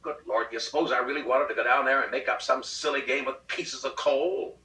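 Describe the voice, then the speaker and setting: A man's voice: mid-range voice, fairly even intonation, a little fast, sharp tone, pitched above the performer's own voice, close to an everyday voice. A man playing a peevish, childishly insistent husband in a comic short-story reading, pleading and exasperated with heavy emphasis. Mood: indignant. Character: peevish, childishly insistent middle-class husband plotting murder. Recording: roomy, distant rehearsal recording.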